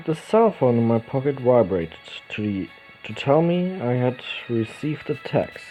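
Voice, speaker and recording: A man reading a visual novel's narration aloud, slowly and with small stumbles and restarts. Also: medium pitch, sing-song, mellow. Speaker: let's play host. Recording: dull lo-fi recording with game music behind.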